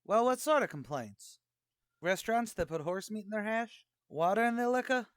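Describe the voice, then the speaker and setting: Man acting as high-pitched male tavern manager, scheming, wisecracking, slangy, old-time radio comedy. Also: expressive, normal speed, clear, resonant tone, dry recording, studio quality. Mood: wheedling. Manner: wisecracking, streetwise slangy talk.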